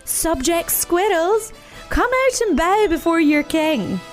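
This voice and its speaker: A woman voicing a pompous, grumpy male badger in a storybook, proclaiming imperiously with drawn-out words. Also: very high-pitched, animated, faint music behind, pitched above the performer's own voice, exaggerated voice. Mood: commanding.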